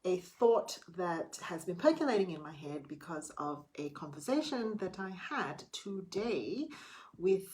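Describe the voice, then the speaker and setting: A woman's voice: fairly low pitch, very animated, a little slow, a little mellow. A middle-aged woman talking candidly to camera in a casual late-night vlog, chatty and a little theatrical. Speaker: vlogger.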